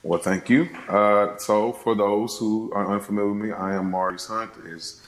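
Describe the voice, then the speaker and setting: A man's voice: on the low side, fairly even intonation, moderate pace. A man speaking casually as a podcast guest, recorded with a roomy echo. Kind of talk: casual chat. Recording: roomy, echoing sound.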